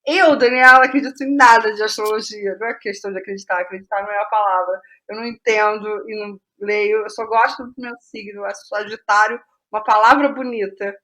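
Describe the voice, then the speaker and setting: A Brazilian woman speaking casually as an interview guest, telling stories in an animated way and thinking aloud with rhetorical asides. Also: medium pitch, quick pace, few pauses, rough recording. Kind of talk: casual conversational interview about writing process.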